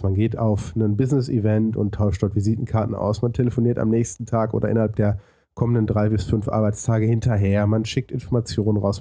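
A man speaks with a very mellow, slightly low voice and an audible lisp. He answers like an interview guest, laying out his story in structured points with hesitations. The recording is very dry. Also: breathy edge, no room sound. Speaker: interview guest, podcaster and consultant.

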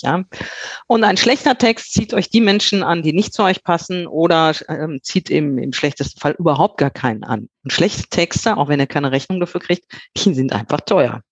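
A man's voice: on the high side, lively intonation, normal speed, no room sound, highs cut off. A man talking as a guest expert on copywriting, giving blunt, punchy advice to listeners with frequent filler sounds.

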